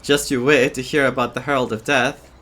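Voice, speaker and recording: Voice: medium pitch, little pitch movement, a little fast, fairly bright tone, a little hiss or hum. A streamer giving casual, off-the-cuff commentary while playing through a game.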